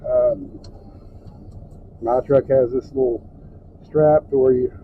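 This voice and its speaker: A man's voice: mid-range voice, wildly swooping pitch, takes it slowly. Tinny-sounding man giving a casual how-to. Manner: plain-spoken, step-by-step, folksy phrasing. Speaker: truck driver and trainer giving a tutorial. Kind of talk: informal hands-on how-to narration. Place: inside a truck cab. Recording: tinny phone-like audio with background noise.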